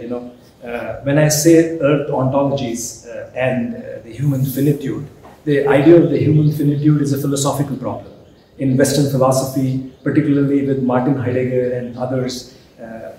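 A middle-aged man with an Indian English accent gives a formal lecture at a podium, pausing often with "uh" and restarting phrases. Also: medium pitch, dark tone. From India (Hyderabad). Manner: halting, many "uh" fillers and restarts. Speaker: guest lecturer, professor. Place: large hall with a banner backdrop. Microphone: podium mic, filmed from a distance. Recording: very echoey hall, faint noise.

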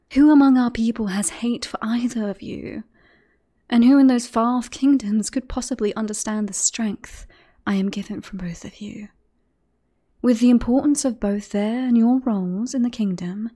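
A teasing, self-assured queen, played by a woman in a romantic fantasy audio roleplay. Her voice sits a little high, yet it is mellow and husky with breath. She flirts in formal, courtly phrases. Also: lightly acted.

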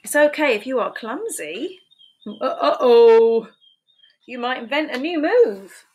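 A woman in her fifties reading picture books aloud to preschoolers in a slow, sing-song storytime voice, recorded on a webcam in a small room at home. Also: sits high, animated, a little mellow, slightly rough. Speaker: children's book reader. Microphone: webcam at desk.

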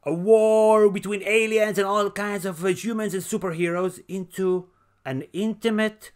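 Male podcast host, higher-pitched voice, emphatic and enthusiastic, casual film talk. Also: fairly even intonation, moderate pace, clean tone. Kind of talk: casual podcast movie review.

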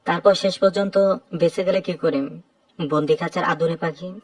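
A woman voicing a calm, gently teasing young male airline pilot in a romantic audio story. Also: fairly low pitch, normal speed, no room sound, far from the mic, voice pushed down, close to an everyday voice.

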